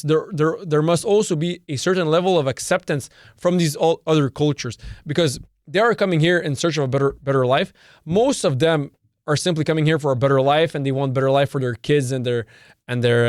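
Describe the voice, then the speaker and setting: Man, Serbian mother tongue, fairly high voice, dry close sound, emphatic and repetitive, swears. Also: no room sound. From Canada. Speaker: podcast host. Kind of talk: solo opinion monologue.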